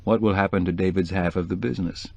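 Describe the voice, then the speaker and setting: Guy reading as low-key wry journalist, low voice, measured and dry. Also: steady tone, vocal fry, a little mellow, breathy edge, no room sound, heavily acted.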